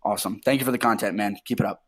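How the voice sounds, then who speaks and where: Lowish-voiced man asking a casual question. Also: very fast. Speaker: caller leaving a voicemail question. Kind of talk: casual, clearly put question.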